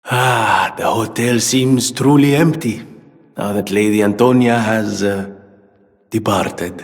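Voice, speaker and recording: An actor playing an eccentric, earnest gentleman inventor in a video game, speaking in a theatrical, wordy way. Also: lowish voice, slow pace, big-room sound, soft background music. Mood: subdued, grieving. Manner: theatrical, verbose, exclamatory. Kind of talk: video game character dialogue.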